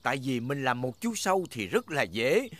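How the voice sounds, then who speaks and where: A man's voice: medium pitch, big pitch swings, moderate pace, background noise, lightly acted. A man voicing a jolly, patient old grandfather pig in a children's cartoon dub. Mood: patient, playful.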